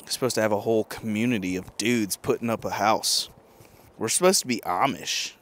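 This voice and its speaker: 30ish guy on a podcast, kinda low voice, swearing a lot, breaks into goofy singing, outdoor patio mic. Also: brisk, slight background noise. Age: young adult. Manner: animated, profane, bursts into silly mock singing. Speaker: podcast host. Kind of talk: casual comedic chat. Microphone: handheld mic.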